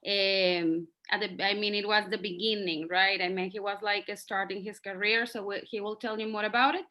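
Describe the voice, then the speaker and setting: A woman's voice: mid-range voice, fairly even intonation, normal speed, sharp tone. A middle-aged woman, a native Spanish speaker, informally hosting an online class interview as the teacher. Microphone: video call.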